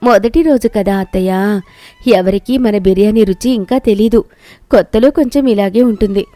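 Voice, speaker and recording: Woman as young rural daughter-in-law in a cartoon fable, hopeful, respectful, mellow voice, dry sound. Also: medium pitch, normal speed, no echo, natural voice. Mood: earnest, reassuring. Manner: earnest, reassuring, respectful to her elder.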